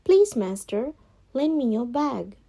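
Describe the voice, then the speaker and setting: A woman's voice: a little high, big pitch swings, slow pace, vocal fry, dark tone, voice pushed up, heavily acted. A woman voicing a clever, bold male cat from a storybook, courteous and coaxing in a theatrical way. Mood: polite, coaxing.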